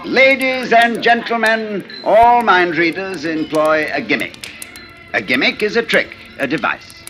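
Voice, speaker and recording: A man's voice: sits high, lively intonation, unhurried, bright, forceful tone, heavily acted. An actor in a 1940s radio drama playing an urbane, talkative male stage mentalist who speaks in a suave, theatrical, mannered way. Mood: showman. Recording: old radio recording, faint music.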